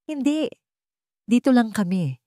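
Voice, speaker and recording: A woman's voice: a little high, unhurried, no room sound, clean studio sound, voice pushed up. Guarded, bitter young mother played by a woman. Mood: wary, bitter. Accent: Filipino. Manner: flat, curt, hurt. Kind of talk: storybook drama narration.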